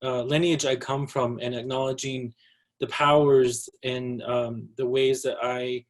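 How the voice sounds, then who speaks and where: A Navajo man from the US Southwest giving a measured presentation at a virtual symposium, with frequent ums and throat clearing. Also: mid-range voice, little pitch movement. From Navajo Nation, US Southwest. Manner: measured, opens with a greeting in his own language. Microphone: video call.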